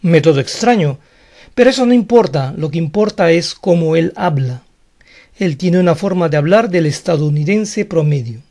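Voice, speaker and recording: A man whose first language is Spanish gives an online lesson in a frank, explanatory way. His voice sits high for a man. He defends his points plainly and directly. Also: expressive, moderate pace, warm tone. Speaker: English teacher.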